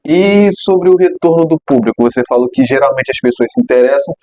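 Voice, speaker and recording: Young host, dull lo-fi sound. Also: male voice, medium pitch, lively intonation, brisk, dry recording. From Caju, Rio de Janeiro, Brazil. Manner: casual slang, restarts, hesitant phrasing. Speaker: podcast host. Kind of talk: casual interview. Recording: slightly dull lo-fi mic.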